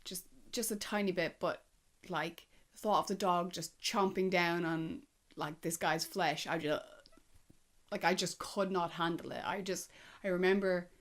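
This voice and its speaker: A woman's voice: on the low side, steady tone, a little slow, a bit bright. A woman giving a casual, chatty film review, speaking conversationally with emphatic asides and rhetorical questions. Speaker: movie review vlogger.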